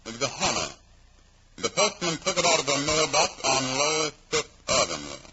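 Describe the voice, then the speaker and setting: An actor playing a probing, sardonic police inspector in an old-time radio crime drama, with a dry, interrogating manner. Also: male voice, on the high side, big pitch swings, normal speed. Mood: pressing. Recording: noisy, crackly vintage radio recording.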